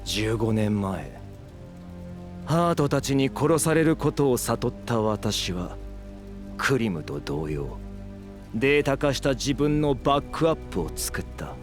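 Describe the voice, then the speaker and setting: A man's voice: mid-range voice, very animated, a little slow, over music. An actor playing a gloating, megalomaniac scheming villain in an audio drama, theatrical and condescending, drawing out his taunts. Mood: smug, gloating. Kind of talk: Japanese audio drama acting.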